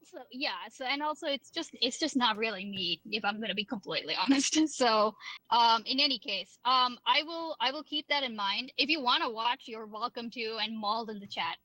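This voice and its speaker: Bright-voiced woman, hesitant and casual. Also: on the high side, fairly quick, no echo, poor audio. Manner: hesitant, restarting, swears casually. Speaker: streamer. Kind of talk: casual call chat.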